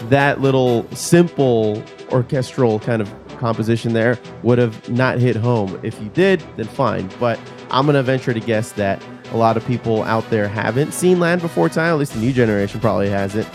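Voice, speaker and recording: A man's voice: mid-range voice, moderate pace, few pauses, faint music behind. A man hosting a podcast in a casual, conversational, bantering style. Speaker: podcast host.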